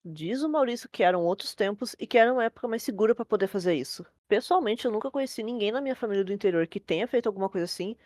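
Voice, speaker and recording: A woman's voice: medium pitch, expressive, racing, hardly any pauses, a little mellow, no room sound, clean studio sound. A young Brazilian woman telling a scary story as host, in casual scripted narration. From Brazil, from a capital city.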